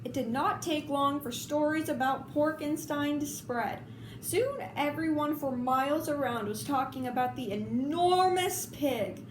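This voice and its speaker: High-voiced woman reading a children's story. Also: a little slow. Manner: expressive, informal asides. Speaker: storybook reader. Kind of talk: children's story read-aloud. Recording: roomy, clearly noisy home recording.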